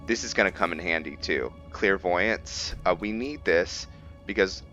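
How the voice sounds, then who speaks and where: Low-voiced, slow-talking man with bright edge. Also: highs cut off, faint music behind. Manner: halting, thinking aloud. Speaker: gameplay host and role-player. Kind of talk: casual game commentary explaining character lore.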